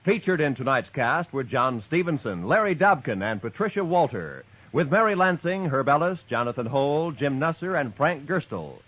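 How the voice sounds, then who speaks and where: A tinny, phone-like vintage radio recording with music behind an American male announcer. He reads scripted 1950s network copy with swooping intonation and a slightly husky edge. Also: a little high, sing-song, moderate pace, few pauses, no echo.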